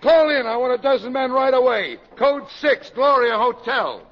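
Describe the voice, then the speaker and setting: An actor playing a brusque, impatient police captain in a 1950s radio drama, with clipped, demanding speech. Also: male voice, very high voice, animated, normal speed, loud music behind the voice. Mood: urgent, demanding. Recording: dull old radio broadcast, background hubbub.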